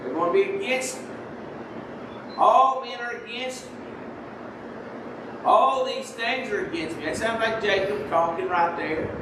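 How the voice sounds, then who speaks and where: Guy preaching softly in a big echoey church, high voice, kinda far from the mic, bit of noise. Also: a little slow, long pauses. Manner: soft-spoken preaching, holds his voice down on the mic. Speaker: lay preacher. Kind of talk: sermon. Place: church sanctuary. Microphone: microphone.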